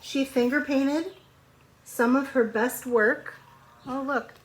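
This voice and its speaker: A woman's voice: high-pitched, fairly even intonation, slow, poor audio. A woman teacher reading a storybook aloud to young children, expressively, with conversational asides and questions.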